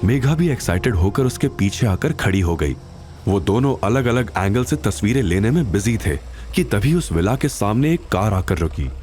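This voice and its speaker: Deep, expressive male drama narrator. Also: normal speed, faint music behind. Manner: expressive, suspenseful delivery. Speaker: narrator of an audio drama series. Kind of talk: dramatic scripted story narration.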